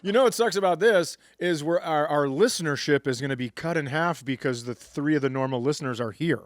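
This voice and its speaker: A man co-hosting a casual comedy podcast live at a comedy club, tossing in quick teasing jokes and wisecracks. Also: on the high side. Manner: quick joking interjections, teasing. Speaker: podcast co-host.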